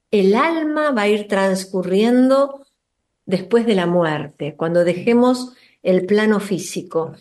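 Brisk Argentine woman hosting radio. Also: medium pitch, a little mellow. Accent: Rioplatense Spanish. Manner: brisk, eager, with filler sounds. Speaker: radio host. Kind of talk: radio interview.

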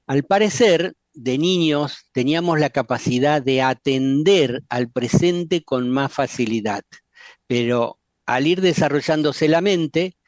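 A man's voice: on the high side, slow, no echo. Friendly man hosting a radio talk. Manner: calm, friendly moderator passing the turn. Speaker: radio show host. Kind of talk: casual spiritual radio chat.

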